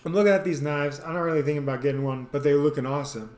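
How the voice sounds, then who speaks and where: Higher-voiced man storytelling in an echoey room. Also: rapid-fire. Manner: animated, acts out quoted lines and sound effects. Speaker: storyteller and video host. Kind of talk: casual comedic personal storytelling. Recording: echoey, roomy sound.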